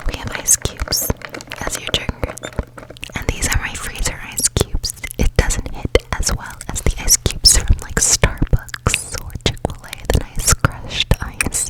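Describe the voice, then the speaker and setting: A woman hosting an ASMR podcast in a breathy whisper, speaking slowly and soothingly close to the microphone, with repeated words. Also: poor audio. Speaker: podcast host. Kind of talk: whispered ASMR with guided meditation and rambling. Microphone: close sensitive ASMR microphone.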